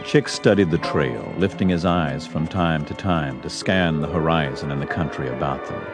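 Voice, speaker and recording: A man's voice: very deep voice, normal speed. A man narrating a Western story as a scripted audio drama. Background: music.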